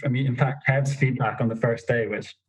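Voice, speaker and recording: A young man telling stories as a podcast guest, in a self-described monotonous voice with lots of fillers. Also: medium pitch, a little fast, breathy edge, rough recording. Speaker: snowboard instructor trainer, podcast guest. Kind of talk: casual interview storytelling.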